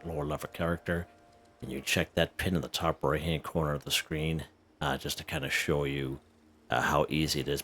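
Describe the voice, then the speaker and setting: A man hosting a casual game walkthrough, chatty and a little hoarse, who keeps checking in with "okay?" and "right?". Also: very deep voice, fairly bright tone. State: slightly hoarse. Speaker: gaming video host.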